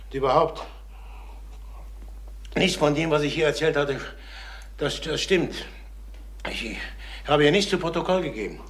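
Man acting a desperate, halting older salesman. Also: fairly high pitch, a bit bright, natural voice. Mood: defensive, flustered. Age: late 50s. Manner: halting, repeating himself, brooding. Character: distraught, desperate travelling salesman near sixty. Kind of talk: German crime radio drama acting.

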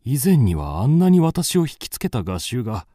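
A man's voice: medium pitch, very animated, moderate pace, warm tone, no echo, studio quality. An actor playing a moody, melancholic young man with restless fancies, narrating a literary radio drama in the first person. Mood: gloomy, weary.

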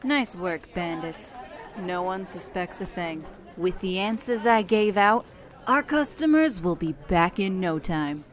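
Woman playing a cool, low-voiced teen gang boss. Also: expressive, a little slow, a faint crowd. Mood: satisfied. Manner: commanding, composed. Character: cool, decisive teenage leader of a 1950s gang. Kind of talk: comic dub voice acting.

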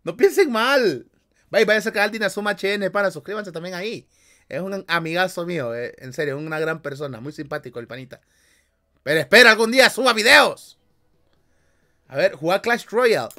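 A man's voice: high voice, expressive, a little fast, sharp tone. A young man with a Honduran accent, chatting casually on a livestream from a small bedroom, reading comments with jokes, slang and frequent laughs. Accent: Honduran Spanish. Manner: joking, slangy, laughs often. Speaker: streamer. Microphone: headset with desk mic.

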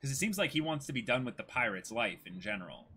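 A man's voice: mid-range voice, big pitch swings, quick pace, pushed and bright, slightly rough. Young guy streamer, voice goes up and down a lot, chatting casually. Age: young adult. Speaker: co-host of a streamed reading. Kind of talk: casual chat, reading out viewer messages. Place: small indoor streaming setup.

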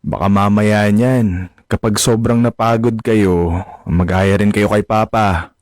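A man's voice: fairly low pitch, steady tone, slow, a little mellow, no room sound, studio quality. A man acting a caring, respectful young adult son in a radio drama. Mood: gentle, concerned.

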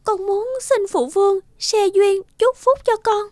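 A woman voicing a young celestial princess in a cartoon, gentle but stubborn, lovelorn and emotional. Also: very high-pitched, fairly even intonation, a little slow, dry recording, studio quality, voice pushed up. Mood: pleading. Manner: pleading, emotional.

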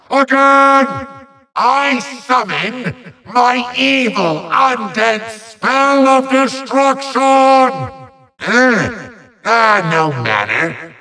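A man voicing a bombastic, petulant little evil sorcerer from a video game, ranting and gloating in hammy, drawn-out threats with a gravelly, sharp voice. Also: high voice, recorded from a distance, full character voice, poor audio. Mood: gloating, commanding. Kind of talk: theatrical video game villain monologue.

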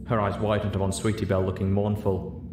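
A man's voice: completely flat delivery, quick pace. A man reading scripted story narration as an audiobook narrator. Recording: roomy echo, audible noise, low rumble.

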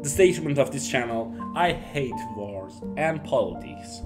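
A young man from Iran, a native Persian speaker, chatting casually to camera in halting, Persian-accented English as a YouTube host. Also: mid-range voice, expressive, music in the background. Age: young adult. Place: small home office, indoors.

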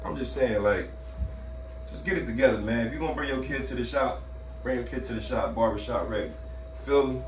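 A man's voice: mid-range voice, a little fast. A man in his thirties, a barber talking to camera in a casual, slangy, exasperated rant with emphatic delivery. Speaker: barber, video host. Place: small indoor barbershop room. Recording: roomy echo, distant mic, faint noise.

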